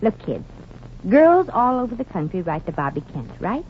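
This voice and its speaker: Casting: actress for sharp-tongued American gal, scheming and slangy, animated delivery, 1940s radio comedy with light hiss. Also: female voice, mid-range voice, moderate pace, natural voice. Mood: sly, scheming. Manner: brassy, wisecracking, slangy. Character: wisecracking, scheming young woman, quick with sarcasm. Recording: muffled, hissy 1940s radio recording.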